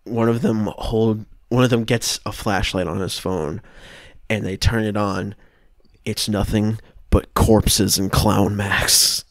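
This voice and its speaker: Unhurried, lowish young man narrating. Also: fairly even intonation, no room sound. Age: young adult. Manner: halting, searching for words. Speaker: improv podcast performer. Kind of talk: improvised scene narration. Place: small room at home, video call.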